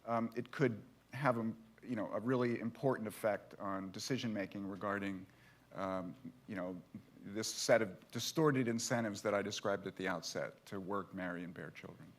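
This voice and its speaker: A man explaining a research study at a policy forum, measured and hesitant, with frequent fillers. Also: on the low side. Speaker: panelist. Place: conference hall.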